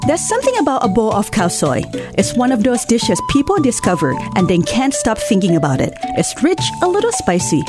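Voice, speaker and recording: Woman from Thailand, eager ad read, higher pitch, loud music bed. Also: huge pitch swings, brisk. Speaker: restaurant owner. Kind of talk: radio ad read.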